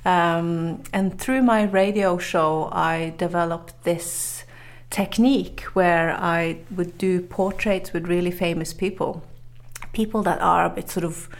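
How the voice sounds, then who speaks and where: A woman from Norway with a Norwegian accent, a journalist and author telling her story in an interview, chatty and quick to laugh. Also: on the low side, vocal fry. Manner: chatty, laughs often, many fillers and restarts.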